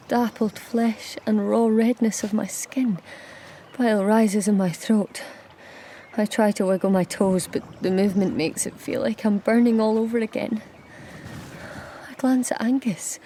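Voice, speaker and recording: An actress playing a wounded, proud and suspicious young goddess, narrating her own story in an intimate, hushed manner in an audio drama. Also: mid-range voice, slight background noise, natural voice. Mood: uneasy, shaken. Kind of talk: first-person audio drama narration and dialogue. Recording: music under the voice.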